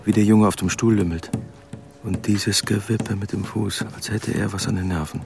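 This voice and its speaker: A man's voice: lowish voice, fairly even intonation, moderate pace, gravelly. A man playing a stern, aggrieved middle-aged father in a German radio play, grumbling with disapproval. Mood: disapproving.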